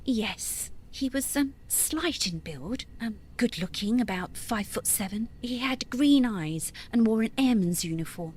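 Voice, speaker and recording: A woman plays a young lady witness in an audio drama. Her voice is fairly high, polite and halting, over faint background noise. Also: lively intonation, a little mellow. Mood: composed, polite. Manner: hesitant, halting testimony. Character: composed young lady recounting her attack, politely guarded.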